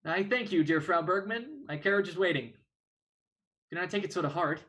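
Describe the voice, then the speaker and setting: A high, forceful voice of a courteous old-fashioned doctor , acted by a man. He is formal and a touch pompous, breezily reassuring in the manner of a period stage play. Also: moderate pace. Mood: courteous, cheery. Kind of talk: dramatic reading of a 19th-century play.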